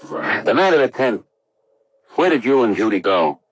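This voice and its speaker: An actor playing a brisk, probing police lieutenant, asking terse, firm questions in 1950s radio drama style. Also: male voice, medium pitch, very animated, husky.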